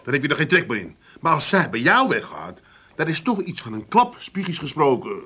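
Adult son, Amsterdam accent, sharp lively delivery, sympathetic yet exasperated; comedy radio play. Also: male voice, a little high, brisk, breathy edge, exaggerated voice. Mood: reasoning. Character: grown son, sympathetic but exasperated with his crabby father. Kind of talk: comedic radio drama acting.

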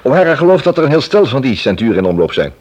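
An actor playing a matter-of-fact investigator in a radio play, briefing a colleague in a businesslike, step-by-step way. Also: male voice, medium pitch, big pitch swings, quick pace, darkish tone. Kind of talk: Dutch radio play acting.

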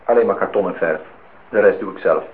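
An actor playing a polite, lonely host in a radio play, formal and slightly insistent. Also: male voice, sits low, a little fast, lightly acted. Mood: polite, probing. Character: polite, lonely man hosting a young woman at his lakeside house. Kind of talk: Dutch radio play acting. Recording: noisy, tinny old radio recording.

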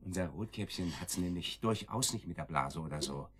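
A man's voice: deep voice, animated, normal speed, a bit bright, husky, heavily acted. An actor playing a sadistic, cruelly playful kidnapper in a radio drama, mocking and menacing as he talks. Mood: sneering threat. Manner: mocking, menacing, chuckling, taunting.

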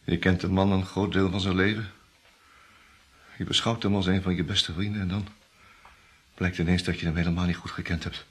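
A man's voice: deep voice, little pitch movement, brisk, long pauses, a little hiss or hum, natural voice. An actor in a radio drama playing a grim, determined man, speaking with urgency and bitterness. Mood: bitter, grieving. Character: grim, determined man urgently questioning his dying friend.

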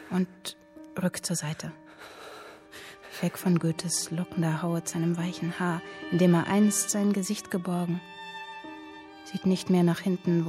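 An actress reading an intimate literary tale within a radio drama, hushed and deliberate. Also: female voice, on the low side.

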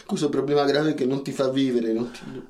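A man playing a childlike, simple-minded friend who speaks solemnly and haltingly in a radio drama, repeating grand, ominous promises. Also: medium pitch, fairly even intonation, a little mellow, natural voice. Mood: solemn, reassuring.

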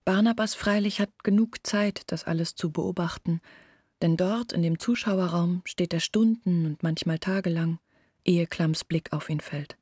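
An earnest village woman in a radio play, baring family secrets. Her voice is on the low side and husky, very close and dry. She speaks deliberately, sometimes slipping almost into a whisper. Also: steady tone, a little mellow, no echo. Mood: earnest, confiding.

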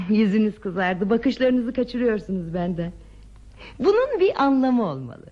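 An actress playing a tender, curious woman in a radio play, with a soft, dramatic and inquisitive way of talking. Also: mid-range voice, very animated, a little slow. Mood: playfully curious. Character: tender, curious woman drawing out a shy man's memories. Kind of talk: radio theatre acting. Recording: dull, muffled radio drama recording.

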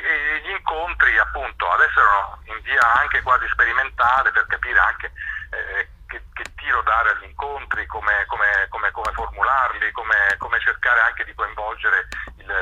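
A man's voice: deep voice, pushed and bright, slightly rough. A man organizing cultural events, explaining his project by phone and stumbling over repeated words. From Italy. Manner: hesitant, many repeated words and fillers. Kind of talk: phone interview. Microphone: telephone. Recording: lo-fi phone line.

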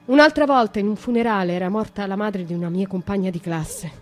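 An actress playing a young, tormented noblewoman who slowly and intimately confesses her past to a nun in a radio monologue. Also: mid-range voice, faint music behind, lightly acted. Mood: grave, confessional. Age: young adult.

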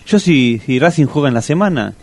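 A man's voice: on the high side, lively intonation, a little fast, a little mellow, no echo. An actor playing a simple, earnest, complaining Argentine man in a comic radio play, rambling colloquially. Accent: Argentine, Rioplatense.

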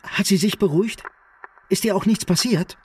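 An actor playing a proud, dry-witted middle-class merchant on the run, formal and troubled, in a radio drama. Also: male voice, high-pitched, normal speed, husky. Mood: tense, pleading. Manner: formal, ironic, wounded dignity. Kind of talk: German radio play acting.

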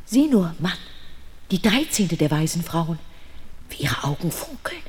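A woman playing a gentle, anxious queen in a fairy tale audio play, with a tender, theatrical delivery. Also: lowish voice, big pitch swings, unhurried, slight background noise. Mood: worried.

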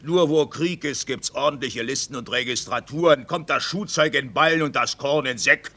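Forceful, gruff army sergeant. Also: male voice, mid-range voice, normal speed. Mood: pompous grumbling. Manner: barking, officious. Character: gruff, bullying army sergeant who praises war and order. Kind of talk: German radio play acting.

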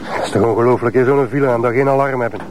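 An actor playing a chatty, nagging junior burglar in a crime drama, with a Flemish accent. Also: male voice, mid-range voice, very fast, noisy background, close to an everyday voice. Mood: chatty, eager.

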